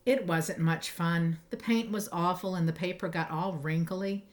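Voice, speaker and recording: A woman reading a children's picture book aloud, with expressive phrasing and emphasized words. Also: lowish voice, unhurried. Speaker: storybook reader.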